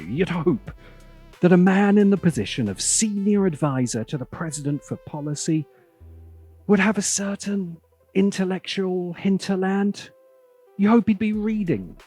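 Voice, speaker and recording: A British man delivers a scripted video essay over background music. His voice is fairly high and slightly husky, with a rough edge to it. He speaks with sardonic, deliberate theatricality. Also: darkish tone.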